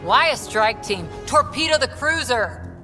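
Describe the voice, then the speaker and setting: A woman playing a blunt, sarcastic spaceship crewmate in a video game, speaking in a wry, brisk way. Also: fairly high pitch, lively intonation, pushed and bright. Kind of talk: casual video game dialogue. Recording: reverberant, faint music underneath.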